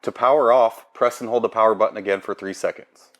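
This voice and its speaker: A man's voice: fairly low pitch, fairly even intonation, quick pace, fairly bright tone. A man presenting an instructional product tutorial in a plain, procedural way.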